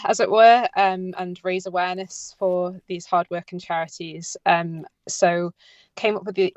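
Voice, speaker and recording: A woman writer answering interview questions conversationally, in a somewhat halting way. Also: lowish voice, no room sound. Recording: slightly dull, dry sound.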